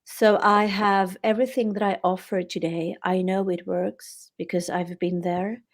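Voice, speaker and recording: A woman's voice: mid-range voice, little pitch movement, a little slow. A middle-aged woman from Sweden with a Swedish accent, a guest author and yoga therapist talking earnestly and reflectively in a podcast interview, often restarting her phrases.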